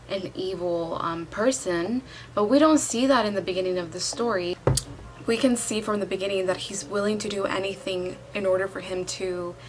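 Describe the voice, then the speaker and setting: A woman's voice: medium pitch, slight background noise, low-quality recording. A young woman talking casually in a book vlog, chatty and candid, reacting aloud as she reads. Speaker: book vlogger. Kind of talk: casual reading vlog talk.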